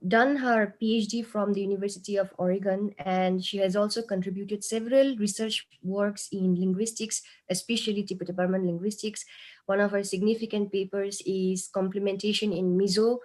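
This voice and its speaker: A woman opens an online session over a video call. She introduces the speaker formally and reads slowly, pausing on fillers. Her voice is mid-pitched. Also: steady tone, a little mellow. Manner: slow, reading out with fillers. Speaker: session host.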